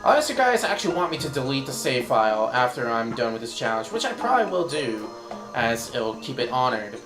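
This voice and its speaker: A man talks through his gameplay in a loose, rambling way, his voice worn hoarse from hours of talking. The sound is roomy, and the game's music plays clearly underneath him. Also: medium pitch, very animated, brisk. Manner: rambling, thinks aloud while playing. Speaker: let's play commentator. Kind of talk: casual gameplay commentary. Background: game music.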